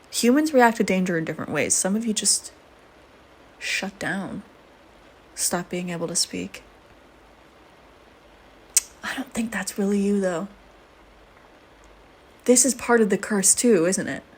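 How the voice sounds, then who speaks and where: A woman voicing a flirty, teasing, predatory female vampire in an intimate audio roleplay, with playful banter and breathy murmurs. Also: medium pitch, animated, a little slow, pauses often, a little hiss or hum. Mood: musing, teasing.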